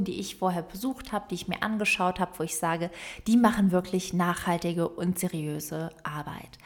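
Young female podcast host, informal direct address, slightly low voice. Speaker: podcast host and volunteer travel organizer. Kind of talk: solo podcast talk to listeners.

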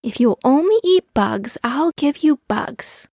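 A woman's voice: sits high, lively intonation, measured pace, vocal fry, a little mellow, slightly rough, no room sound, studio quality, pitched above the performer's own voice, heavily acted. A woman voicing a patient mother owl in a storybook, coaxing and instructive in manner. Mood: giving in.

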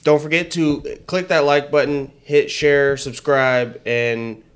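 A man co-hosting a casual political podcast, talking in energetic bursts with frequent restarts. He has a higher voice than his co-host. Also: takes it slowly, clean tone. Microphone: headset mic with headphones.

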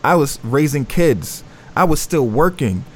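A man's voice: on the high side, lively intonation, measured pace. A man hosting a panel talk, reflective and affirming as he sums up his guests' points. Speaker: host.

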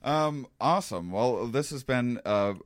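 A man with a low voice that carries, hosting a podcast and talking in a relaxed, rambling way with many fillers and restarts. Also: unhurried, no room sound, studio quality. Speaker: podcast host. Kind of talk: casual conversational interview.

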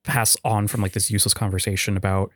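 Male co-host, fairly low pitch, joking and conversational, dry close recording. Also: flat delivery, fast talker, a bit bright, no echo. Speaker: podcast co-host. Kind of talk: casual chat.

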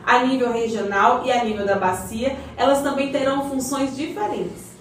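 A woman's voice: a little high, moderate pace, close to an everyday voice. A woman playing an encouraging, well-informed community guide in a scripted radio soap, explaining things didactically. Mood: reassuring. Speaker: character explaining community projects. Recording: very echoey, distant mic, faint noise.

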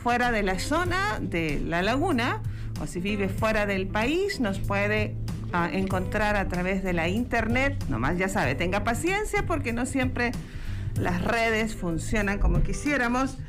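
A woman with a Mexican Spanish accent hosting a radio talk show, warm and chatty, drawing out her phrases. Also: medium pitch, very animated, unhurried. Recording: music bed under voice.

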